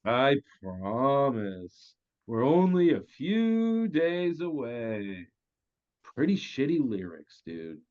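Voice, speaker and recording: A man's voice: mid-range voice, sing-song, slow. A man playing a cocky, crude crime boss with flippant, theatrical menace in a comedy table read. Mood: mocking. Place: virtual table read over video call. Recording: dull, lo-fi online call.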